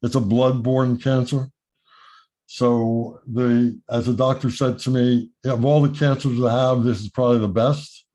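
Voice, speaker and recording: A man's voice: mid-range voice, level tone, unhurried, dark tone, poor audio. A man talking frankly in a casual video call, his voice changed by illness. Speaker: meeting participant.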